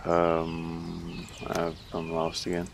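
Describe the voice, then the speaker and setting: A man's voice: low-pitched, completely flat delivery, drawn-out words, creaky, a little hiss or hum. A man giving casual gameplay commentary, puzzled and muttering to himself as he thinks aloud. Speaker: gamer playing and commenting on a game.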